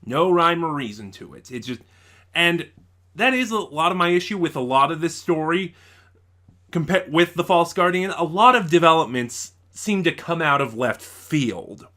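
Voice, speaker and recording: A man's voice: fairly high pitch, expressive, normal speed, bright, forceful tone. A man giving a casual spoken review as an online host, thinking aloud and often correcting himself. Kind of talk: casual spoiler review of an audio drama.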